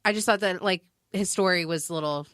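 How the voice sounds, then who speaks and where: A woman talking as a podcast guest in casual, unscripted chat, informal and halting in her phrasing. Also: on the low side, a bit bright, dry recording, clean studio sound. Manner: informal, halting, conversational with frequent "like".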